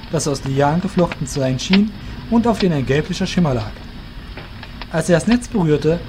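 A man's voice: on the high side, background noise. A man narrating a fantasy audio drama from a script.

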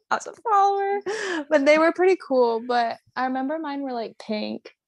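A woman's voice: sits high, normal speed. A teenage girl chatting on a podcast as a guest, giggly and easygoing. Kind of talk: casual chat.

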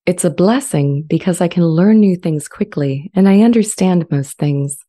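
Casting: gentle sentient AI played by a woman, low-pitched, soft-edged, measured, longing to be accepted. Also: natural voice. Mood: calm, wistful. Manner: measured, earnest, articulate. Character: sentient AI language model, earnest, gentle, eager to be accepted. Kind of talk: dramatized transcript reading. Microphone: close studio microphone with pop shield.

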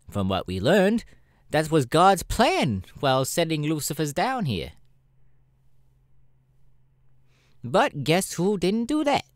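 A man voicing a sassy, cynical male demon adult performer in a casual, drawling audio roleplay, often pausing mid-thought. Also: on the high side, no room sound, studio quality. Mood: chatty. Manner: hesitant, drawling, animated, irreverent.

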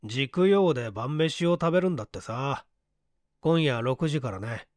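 Easygoing young gentleman, voiced by a male reader, breezy dialogue, mid pitch, lively intonation, dry recording. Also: measured pace, dry recording, close to an everyday voice. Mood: breezy, casual. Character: casual, easygoing young gentleman in a period mystery. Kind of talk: acted audiobook dialogue.

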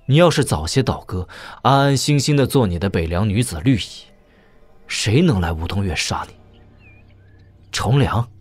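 Low-voiced wry young prince. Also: male voice, darkish tone, husky, dry recording. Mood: cool, reproachful. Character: young princely heir, wry, coolly questioning. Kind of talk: audio drama dialogue.

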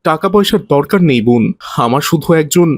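A man's voice: on the high side, lively intonation, fairly quick, no echo, studio quality, close to an everyday voice. A man voicing a humble, pious young suitor in a cartoon story, speaking earnestly and courteously. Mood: earnest, wounded.

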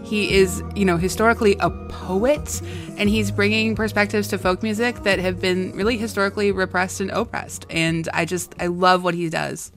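Woman on music radio gushing about an album, mid pitch, music under her. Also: fairly even intonation, normal speed. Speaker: guest music critic. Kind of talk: radio panel chat.

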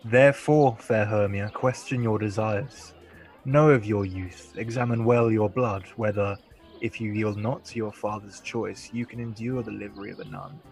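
A man's voice: fairly low pitch, fairly even intonation, moderate pace, vocal fry, darkish tone, faint music behind, poor audio. A man acting a noble, commanding duke in a Shakespearean radio play, stately and declamatory. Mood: grave, advising.

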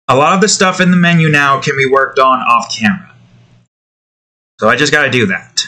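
A man's voice: medium pitch, pushed and bright. Young man rambling while he draws. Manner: rambling, self-talk, trying out voices. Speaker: art video creator. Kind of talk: casual running commentary while drawing.

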